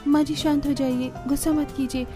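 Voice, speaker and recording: High-pitched dutiful young daughter-in-law, acted by a woman. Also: flat delivery, a little fast, music in the background, lightly acted. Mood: polite, soothing. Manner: deferential, earnest, pleading. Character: dutiful young village daughter-in-law, polite, practical, soothing. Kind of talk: Hindi family cartoon voice acting.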